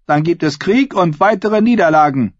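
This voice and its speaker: Man voicing a bold, high-pitched young noblewoman. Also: expressive, normal speed, no echo, lo-fi sound. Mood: rallying, proud. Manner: declamatory, rhetorical, challenging. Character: bold young noblewoman rallying raiders, defiant and scornful. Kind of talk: dramatic audiobook character voice.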